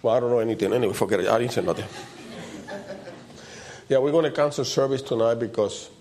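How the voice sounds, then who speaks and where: A man with a loud voice and preaches in an informal, conversational way, repeating short phrases for emphasis. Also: medium pitch, fast. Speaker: preacher leading a church service.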